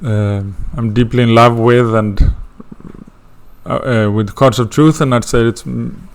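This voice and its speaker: A man's voice: medium pitch, fairly even intonation, a little slow, slight background noise. A man from Sweden with a Swedish accent, introducing an astrology course in a hesitant way, with many fillers and restarts. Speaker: astrology teacher.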